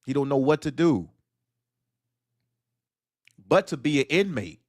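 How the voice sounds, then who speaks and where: A man's voice: a little high, big pitch swings, unhurried, no room sound, studio quality. A man hosting a solo audio livestream, delivering a blunt, preachy monologue that fires off rhetorical questions. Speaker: livestream host.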